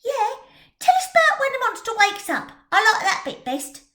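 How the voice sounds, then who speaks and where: A woman doing a shrill, bossy little girl. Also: big pitch swings, echoey room, exaggerated voice. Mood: eager. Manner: sharp, scolding, fast-talking. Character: bossy, quick-tongued young girl.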